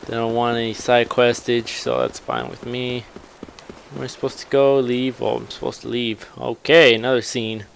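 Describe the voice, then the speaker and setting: A man's voice: medium pitch, little pitch movement, moderate pace, gravelly, a little hiss or hum. A man doing casual gameplay commentary, rambling and muttering to himself as he plays. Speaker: gamer, let's player.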